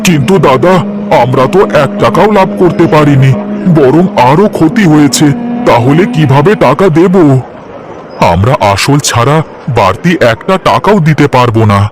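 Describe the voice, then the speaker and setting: A man's voice: on the high side, animated, normal speed, noisy background, natural voice. A man voicing a worried, humble poor village vegetable trader in a cartoon story, speaking in a pleading, deferential way. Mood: anxious, pleading. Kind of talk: Bengali cartoon story dialogue.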